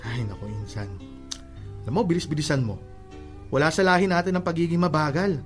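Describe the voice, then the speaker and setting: A man's voice: on the high side, brisk, faint music behind, close to an everyday voice. A man with a Filipino accent acting a teasing, pushy young cousin in a radio drama. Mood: urging, scolding. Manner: animated, ribbing, bossy. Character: teasing, pushy young male cousin giving love advice.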